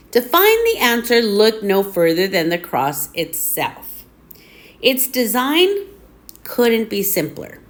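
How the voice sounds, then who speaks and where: Slow-paced woman reading devotional text aloud. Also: mid-range voice.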